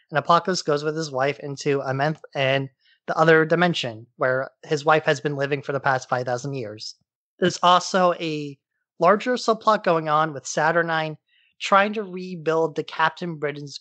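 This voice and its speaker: A man's voice: a little high, bright, forceful tone, no echo. A man hosting a podcast, giving a casual, informal recap in loose everyday language. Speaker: podcast host. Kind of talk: casual comic-book recap.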